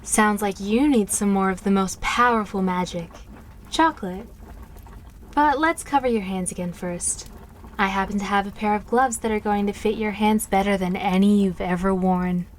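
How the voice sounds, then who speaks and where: A woman playing a gentle, reassuring young witch in an audio drama, soothing and coaxing. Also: medium pitch, normal speed, a little hiss or hum, lightly acted. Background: music.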